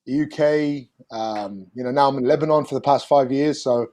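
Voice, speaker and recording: A man with a mixed, New Zealand-tinged English accent, speaking as a guest on a video call, relaxed and quick to laugh. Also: mid-range voice. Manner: relaxed, laughing, says mate and bro. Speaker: guest, rugby union chief.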